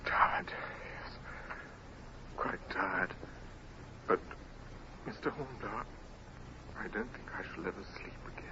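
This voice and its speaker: An actor playing an exhausted, guilt-ridden young sailor in a 1950s radio drama, halting and stammering, with a breathy, breaking voice. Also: male voice, low-pitched. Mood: drained, hopeless. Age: young adult. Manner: halting, stammering, repeating words. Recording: muffled, noisy vintage radio recording.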